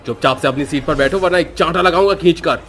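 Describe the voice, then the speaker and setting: A man's voice: a little high, quick pace, fairly bright tone, voice pushed up. A man playing a stern, short-tempered, worried father in a cartoon story, scolding and insistent. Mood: angry scolding.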